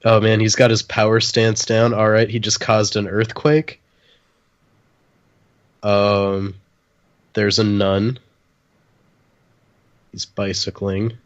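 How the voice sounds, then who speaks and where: A man chatting casually and jokingly about films as a podcast guest, in a halting, hesitant way. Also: lowish voice, flat delivery, lo-fi sound. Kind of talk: casual joking film chat.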